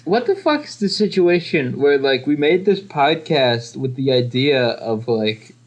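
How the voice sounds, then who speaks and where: A man's voice: mid-range voice, animated, a little slow, rough recording. A young man co-hosting and editing a podcast, swearing often in exasperated jokes. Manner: profane, exasperated joking. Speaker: podcast co-host and editor. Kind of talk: casual chat.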